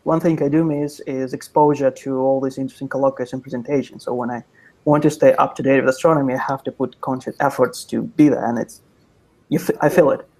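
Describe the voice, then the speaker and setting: A man's voice: mid-range voice, steady tone, brisk, a little mellow, poor audio. Thoughtful man on a video call. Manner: thoughtful, pragmatic, with frequent restarts. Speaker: panelist from industry. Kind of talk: panel answer over video call. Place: office with orange wall. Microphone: video call webcam.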